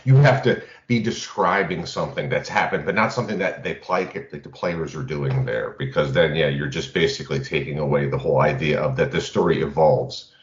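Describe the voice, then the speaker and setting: A man's voice: very deep voice, fairly quick, hardly any pauses. A man co-hosting a casual panel discussion about tabletop role-playing games. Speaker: podcast co-host. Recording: roomy, dull band-limited audio.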